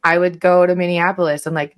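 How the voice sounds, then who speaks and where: Low-voiced American woman chatting casually. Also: little pitch movement, brisk. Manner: informal, hedging, frequent "like" and "you know". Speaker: podcast guest. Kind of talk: casual conversational advice.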